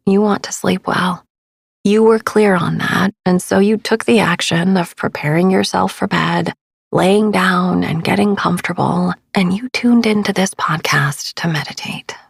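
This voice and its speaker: A woman speaking in a soothing, hushed, often near-whispered voice as she guides a sleep meditation, breathy and husky with vocal fry, pausing gently between phrases. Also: fairly low pitch, dry recording, clean studio sound. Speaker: podcast host, meditation guide. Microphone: close studio microphone, dry.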